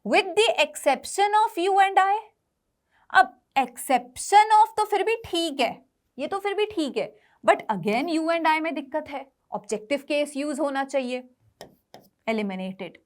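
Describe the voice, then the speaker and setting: A woman with an Indian accent and a distinctly high voice teaches grammar for exam students. She speaks slowly, often asking a question and then answering it herself. Her explanations move back and forth between two languages. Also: animated. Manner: switches between Hindi and English. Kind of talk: exam coaching lesson.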